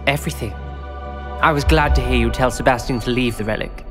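An actor playing a stubborn, principled teenage wizard in a game cutscene, earnest and clipped. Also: male voice, medium pitch, brisk, close to an everyday voice. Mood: wary. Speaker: game character. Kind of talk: video game cutscene acting. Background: music.

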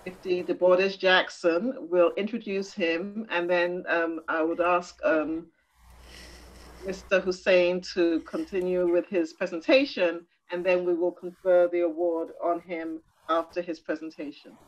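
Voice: medium pitch, little pitch movement, measured pace, lo-fi sound. A moderator announcing the next segment of an event over a video call, hesitant and full of ums.

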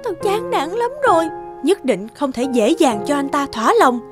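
A woman's voice: sits high, big pitch swings, normal speed, over music. A woman playing an earnest, troubled woman confiding in a melodramatic cartoon dub. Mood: upset, urgent. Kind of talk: melodramatic Vietnamese cartoon dubbing.

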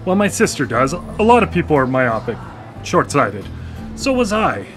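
A man's voice: a little high, big pitch swings, normal speed, a bit bright, soft background music. A man in a live radio drama playing a recently blind former engineer, hesitant and stammering with a wry, self-deprecating humor. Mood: awkward, wry. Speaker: lead character in a radio drama. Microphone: studio microphone.

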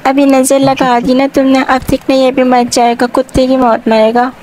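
A man's voice: very high voice, completely flat delivery, moderate pace, a little mellow, poor audio. A man voicing a young woman gamer through a girl voice changer, chiding squadmates in casual game chat. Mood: teasing. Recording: faint noise under game voice chat.

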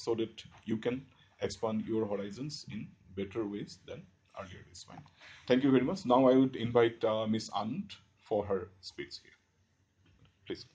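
A middle-aged man with an Indian accent giving a formal, halting welcome speech as a faculty member at an auditorium podium. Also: mid-range voice, a little mellow, slightly rough. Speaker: faculty member introducing speakers. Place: lecture auditorium stage. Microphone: podium gooseneck microphone. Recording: slightly dull hall recording.

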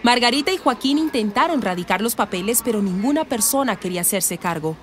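A woman's voice: fairly high pitch, expressive, a little fast, fairly bright tone, faint music behind. A Colombian woman narrating a scripted radio soap opera as its storyteller.

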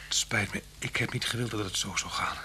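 An actor playing an unhappy, soft-spoken husband on the run in a Dutch radio play, speaking haltingly and often dropping to a near-whisper. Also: male voice, fairly low pitch. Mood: resigned, apologetic. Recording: hum and hiss of old radio recording.

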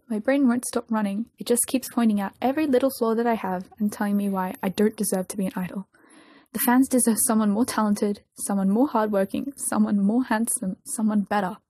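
A weary, self-doubting young male idol from a story, read by a woman, troubled and guarded. The voice is mellow with a husky grain, recorded very dry. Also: medium pitch, dry recording, close to an everyday voice.

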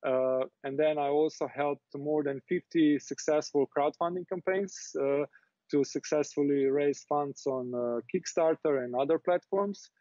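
A man's voice: a little high, fairly even intonation, unhurried, mellow, no room sound. A man in his thirties from Slovenia, a native Slovene speaker, answering interview questions over a video call in a hesitant way with many fillers. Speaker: basketball club president, interview guest. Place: small office indoors. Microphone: earbuds on video call. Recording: dry lo-fi video call audio.

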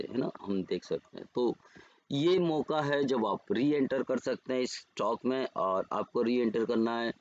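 A man with an Indian accent giving trading levels over charts as a market educator, unhurried and pausing often to correct himself. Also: mid-range voice, darkish tone, highs cut off. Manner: unhurried, pauses and self-corrects while reading levels. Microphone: screen recording voice-over.

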